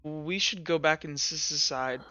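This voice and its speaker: A man playing a halting, stammering tracker in a tabletop game, terse and practical. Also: a little high, sharp tone, muffled recording. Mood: terse, urgent.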